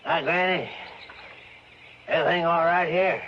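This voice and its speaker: A man's voice: on the high side, fairly even intonation, measured pace, natural voice. A man playing a plainspoken country sheriff in a radio drama, folksy and watchful as he questions people. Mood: businesslike. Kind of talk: old-time radio drama acting. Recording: muffled, noisy old radio recording.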